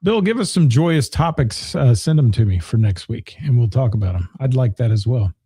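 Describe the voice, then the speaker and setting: Radio co-host, hoarse, very dark husky tone, dry studio sound. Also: male voice, mid-range voice, lively intonation, normal speed, dry recording. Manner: joking, rambling, with restarts. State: losing his voice. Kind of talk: casual on-air chat, reading listener comments.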